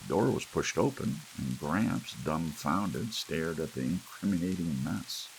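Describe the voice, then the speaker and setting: A man reading a story aloud as an audiobook narrator, in a relaxed bedtime style. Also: low voice, a little slow, vocal fry, low-quality recording. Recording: faint hiss and rain sound. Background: soft rain.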